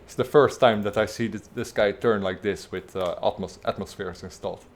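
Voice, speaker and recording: A man from the Netherlands, chatting casually and haltingly while livestreaming a game. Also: fairly low pitch, slight background noise. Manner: relaxed, halting, many fillers. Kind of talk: casual gameplay commentary.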